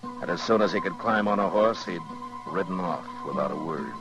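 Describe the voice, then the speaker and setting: Weary Old West marshal, very low voice, firm and steady, vintage radio drama. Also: male voice, moderate pace, lo-fi sound, over music. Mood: brooding. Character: grave, steady frontier marshal, world-weary but firm. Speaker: actor in a radio western. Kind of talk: 1950s radio drama acting. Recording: 1950s radio drama recording.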